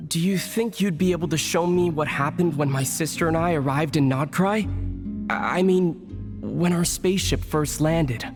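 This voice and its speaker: A man's voice: a little high, moderate pace, music in the background, close to an everyday voice. A man voicing an earnest young traveler. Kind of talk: game cutscene voice acting.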